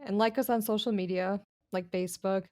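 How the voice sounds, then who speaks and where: A woman's voice: medium pitch, steady tone, fairly quick, darkish tone. A young woman co-hosting a casual podcast, tossing in dry, teasing quips, on a studio mic in a small home studio. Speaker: podcast co-host. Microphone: large studio condenser mic.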